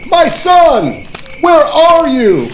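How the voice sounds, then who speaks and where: A man playing a lively, wry Victorian father. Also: extremely high pitch, drawn-out words, slight background noise, exaggerated voice. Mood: anxious calling. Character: easygoing Victorian gentleman, wry, proud father. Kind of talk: period audio drama acting.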